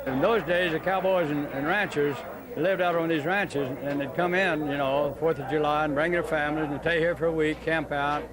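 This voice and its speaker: A man's voice: fairly high pitch, steady tone, normal speed, a crowd in the background, rough recording. A man being interviewed outdoors, talking emphatically and sure of himself. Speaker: author interviewed. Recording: loud outdoor noise behind.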